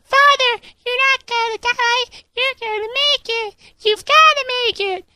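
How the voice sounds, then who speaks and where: A man voicing a small, frightened, tearful boy in squeaky falsetto for a comic storybook reading. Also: measured pace, sharp tone, heavily acted.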